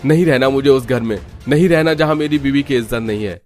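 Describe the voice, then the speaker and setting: A man's voice: mid-range voice, brisk, darkish tone, faint music behind, pitched above the performer's own voice, natural voice. A man voicing an earnest, protective young husband in a cartoon family drama. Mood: angry, resolute.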